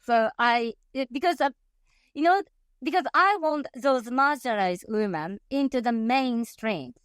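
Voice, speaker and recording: A woman's voice: a little high, animated, unhurried, smooth, no room sound, clean studio sound. A woman in her fifties from Japan with a Japanese accent, a passionate interview guest who often laughs and corrects herself mid-sentence. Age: 50s. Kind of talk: conversational interview.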